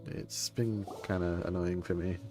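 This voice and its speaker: A man chatting casually while livestreaming a game, in a rambling, self-interrupting, low-key way. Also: fairly even intonation, measured pace. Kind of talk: casual stream chat. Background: soft game music.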